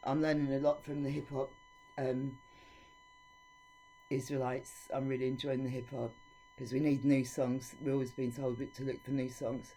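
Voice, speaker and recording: A woman's voice: extremely low pitch, huge pitch swings, normal speed, warm tone, a little hiss or hum. A woman giving an informal devotional teaching, reading scripture aloud and speaking in an earnest, preachy way. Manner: earnest and preachy, with frank opinions.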